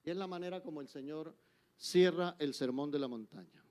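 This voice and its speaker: An older Colombian man preaching in church, his voice sitting a little higher than most men's. He drives his points home with forceful calls to action, then drops to soft, confiding asides. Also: fairly quick. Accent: Colombian Spanish. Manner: emphatic, swinging between forceful exhortation and soft, intimate asides. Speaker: guest preacher. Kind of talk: sermon.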